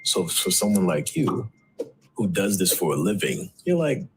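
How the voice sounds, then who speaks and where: A man in an interview clip, telling an animated story with plenty of "like" and asides. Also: low voice, moderate pace, soft background music, rough recording. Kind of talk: casual interview talk.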